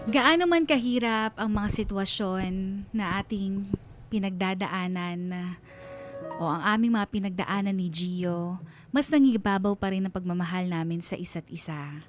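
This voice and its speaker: A woman's voice: medium pitch, unhurried, faint music behind, close to an everyday voice. A woman playing a reflective wife and mother, narrating her story in a heartfelt radio drama style. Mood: wistful, heartfelt. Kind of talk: scripted first-person radio drama narration.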